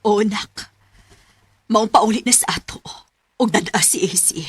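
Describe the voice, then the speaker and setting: An actress playing a domineering mother of a grown son in a radio drama, with a Cebuano accent and a husky voice. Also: medium pitch, a little slow, dry recording. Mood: softened, conceding.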